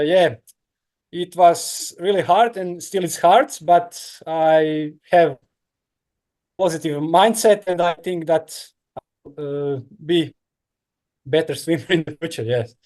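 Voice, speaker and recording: Croatian-accented man, halting and fairly high. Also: darkish tone. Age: 30s. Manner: halting non-native English with many fillers. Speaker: guest, professional triathlete. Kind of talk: casual interview answers.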